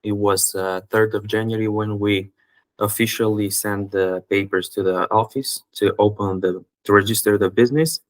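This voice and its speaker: A man from North Macedonia, with a fairly low voice, answers a host's questions. His replies are short and hesitant, with pauses and fillers. The recording is dry. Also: fairly even intonation, no echo. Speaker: guest, student seller. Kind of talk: interview answers.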